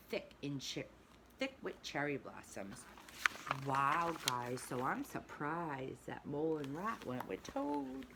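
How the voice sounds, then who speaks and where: A woman's voice: deep voice, background noise. A woman who teaches preschool, reading a storybook aloud expressively and adding asides to the children. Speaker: pre-K teacher.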